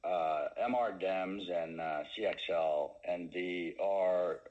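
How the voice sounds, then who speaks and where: A man speaking as a company executive answering questions on an earnings call, with halting phrasing and many pauses. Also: low voice, darkish tone, muffled recording.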